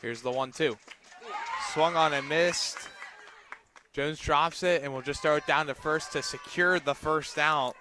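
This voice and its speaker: A man doing live radio play-by-play of a softball game as the announcer. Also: on the high side, measured pace. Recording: noisy outdoor broadcast with crowd behind. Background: crowd and outdoor ambience.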